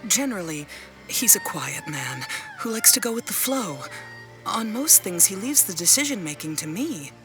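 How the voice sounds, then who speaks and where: A woman playing a worried, gentle but firm middle-aged wife and mother in a video game, speaking in an earnest, measured way. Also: medium pitch, big pitch swings, over music, close to an everyday voice. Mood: troubled, firm.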